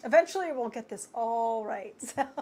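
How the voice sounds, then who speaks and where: A woman co-hosting a casual live stream, with a low, soft voice that cannot project. She talks hesitantly, with many fillers and frequent giggles. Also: lively intonation, normal speed. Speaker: co-host and craft seller.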